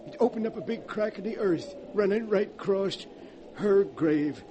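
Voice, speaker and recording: An actor playing an old rustic caretaker in a 1940s radio drama, talking in a folksy, excited way. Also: male voice, high voice, moderate pace, lo-fi sound, close to an everyday voice. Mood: alarmed. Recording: noisy old radio broadcast.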